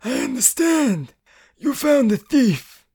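An actor playing a grave older male guardsmith boss in a fantasy radio drama, husky, formal and deliberate with long pauses. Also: sits high, sing-song, mellow, exaggerated voice. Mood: composed. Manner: formal, deliberate, pausing between phrases.

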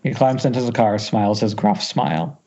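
A man's voice: on the low side, moderate pace, mellow, a little hiss or hum. Man reading script directions, muffled sound. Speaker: stage directions reader. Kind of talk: scripted narration at amateur table read. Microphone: Skype call. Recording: dull lo-fi internet call.

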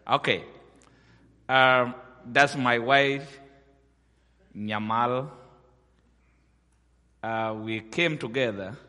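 A middle-aged man from Sudan, a missionary giving a guest sermon in slow, halting, simple English. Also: fairly low pitch, pushed and bright, long reverb tail. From South Sudan.

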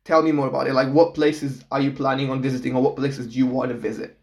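A man's voice: medium pitch, level tone, fast, darkish tone. A young man from Portugal talks in an easygoing, chatty way. He laughs often and repeats his first words before he gets going. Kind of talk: casual chat.

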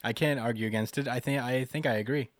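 A man's voice: medium pitch, fairly even intonation, fast talker, no room sound, studio quality. A man in casual analytical discussion as a podcast co-host, speaking thoughtfully and searching for words as he goes.

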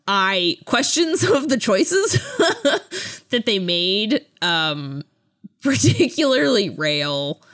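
A woman co-hosting a podcast, chatting casually in a giggly, halting way. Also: medium pitch, very animated, fairly bright tone. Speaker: podcast co-host. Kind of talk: casual book review chat.

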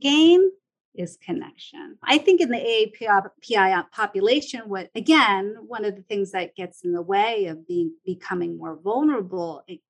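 A woman's voice: medium pitch, animated, normal speed, rough recording. A woman talks in a middle register. She explains her ideas conversationally, in the manner of someone being interviewed.

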